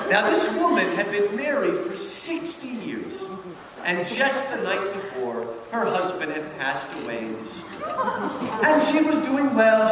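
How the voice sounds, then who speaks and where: An American man from New Jersey giving a public lecture with humorous stories, in a theatrical, emphatic manner. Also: sits high. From New Jersey, United States. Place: large reverberant event hall. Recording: echoey hall from far off, noisy.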